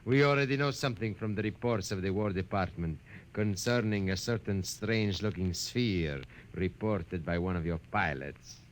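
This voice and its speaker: A man's voice: sits low, normal speed, lightly acted. A man as a suave, sinister old-radio doll-maker. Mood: smug menace. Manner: theatrical, courteous, quietly menacing. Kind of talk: 1950s radio drama acting. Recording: noisy vintage 1950s radio recording.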